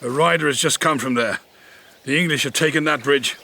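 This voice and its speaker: A man playing a sceptical, gruff French army captain in an audio drama, blunt and wry. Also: on the high side, brisk, bright, forceful tone. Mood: amused, sceptical.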